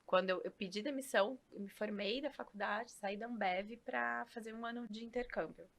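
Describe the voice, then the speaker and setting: A woman, telling her career story as a podcast guest in lively casual chat with quick laughs. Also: on the high side, vocal fry. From Rio Claro, São Paulo state, Brazil. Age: 40s.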